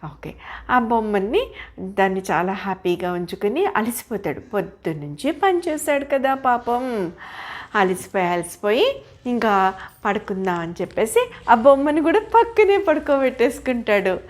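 Animated older woman telling children a story. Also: fairly high pitch, normal speed. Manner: expressive, explanatory, asks listeners questions. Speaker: storyteller grandmother.

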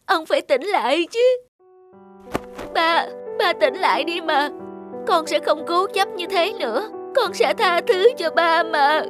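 A woman portrays a proud young daughter in a cartoon drama. Her high voice is emotional and insistent, carrying stubborn hurt, with clear music behind it. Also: expressive, a little slow. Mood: tearful pleading. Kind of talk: animated drama dub.

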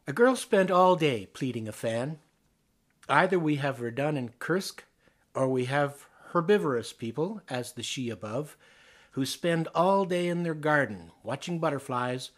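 A Canadian man from Ontario reading poems aloud, expressively and theatrically, with deliberate phrasing and pauses. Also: on the high side. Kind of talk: expressive dramatic poetry reading.

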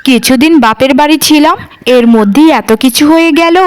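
A woman's voice: sits high, brisk, lightly acted. A woman playing a spiteful, bossy ringleader of village gossips in a cartoon. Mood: nosy, spiteful. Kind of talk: cartoon dialogue.

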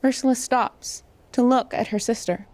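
A woman's voice: fairly high pitch, steady tone, normal speed, a little mellow. A woman host giving scripted dramatic narration of a story.

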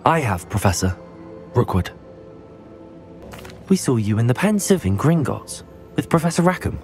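An actor playing a polite, earnest young wizard student in a video game, speaking with composure. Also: male voice, medium pitch, sing-song, moderate pace, darkish tone, faint music behind. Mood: polite, composed. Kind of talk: video game cutscene acting.

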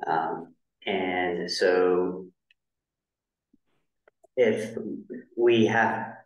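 A man's voice: sits low, extremely slow, distant mic. A man applying to a town planning board, plainly explaining his answer to a board member's question. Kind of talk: answering questions at a public meeting. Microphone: shared room mic, far from the speaker. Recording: dull, echoey room sound from afar.

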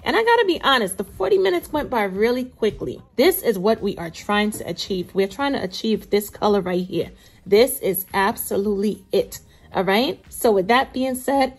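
A woman narrating a recipe casually as a cooking host, chatty and playful, talking straight to viewers. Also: mid-range voice.

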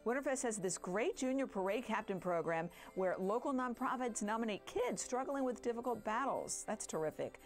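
A woman's voice: a little high, lively intonation, normal speed, hardly any pauses. A woman co-hosting a parade broadcast with gushing, exclamatory, enthusiastic commentary. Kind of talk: enthusiastic live event commentary. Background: music.

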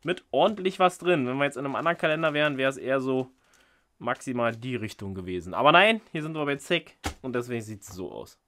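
A man of ordinary pitch talks through a fishing-tackle unboxing at a table indoors. He is chatty and jokey, thinking aloud with plenty of fillers, recorded on an off-camera microphone. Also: expressive, quick pace, a bit bright. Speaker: fishing channel host. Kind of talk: casual unboxing commentary. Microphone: off-camera microphone, top-down camera.

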